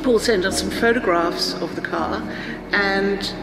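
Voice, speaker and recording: A woman's voice: medium pitch, unhurried. A middle-aged woman from Zambia with a Southern African accent, telling her own travel story in a conversational, reflective, anecdotal way. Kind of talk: personal storytelling, conversational interview narration. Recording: echoey, reverberant room with music behind. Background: music.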